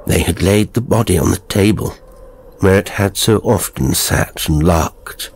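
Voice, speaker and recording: A man narrating a horror story in a measured, grave, literary storytelling style. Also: low-pitched, breathy edge. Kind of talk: scripted dramatic storytelling narration.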